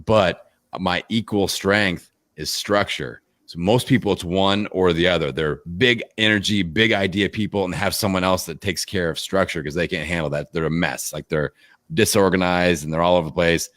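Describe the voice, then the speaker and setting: A man with a very deep, low voice chats as an interview guest, energetic and rambling with plenty of fillers. The sound is dry and close, with no room echo. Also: normal speed. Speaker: podcast guest, fitness coach. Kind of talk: casual interview chat. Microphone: video call.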